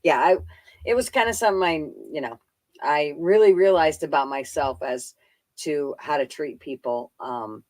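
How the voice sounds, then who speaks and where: A woman's voice: sits low, moderate pace, darkish tone, no room sound. A middle-aged woman in casual live stream chat, rambling and swearing freely in a blunt, folksy way.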